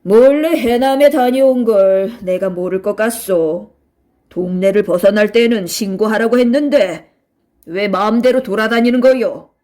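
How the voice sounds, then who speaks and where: A woman voicing an arrogant, bullying male official in a children's storybook, gruff and domineering. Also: medium pitch, measured pace, dark tone, close to an everyday voice. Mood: stern scolding.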